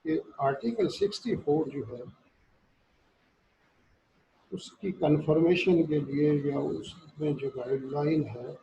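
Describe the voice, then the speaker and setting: A man's voice: fairly high pitch, vocal fry, warm tone. A man with a Pakistani accent giving an explanatory lecture, reading passages out and explaining them, switching between Urdu and English. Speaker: law lecturer. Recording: dull, lo-fi muffled sound.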